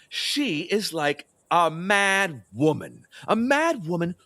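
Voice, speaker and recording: A man's voice: high-pitched, big pitch swings, takes it slowly, pushed and bright, no echo, heavily acted. An actor playing a decadent, superstitious, capricious king in a theatrical audio drama, volatile in manner. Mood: wistful musing. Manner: volatile, swooping between musing and alarmed exclamation.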